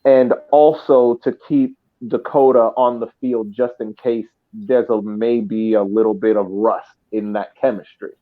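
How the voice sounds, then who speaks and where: A man co-hosting a sports podcast, giving casual analysis haltingly and repeating words as he thinks. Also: fairly low pitch, fairly even intonation, narrow, tinny audio. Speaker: podcast co-host.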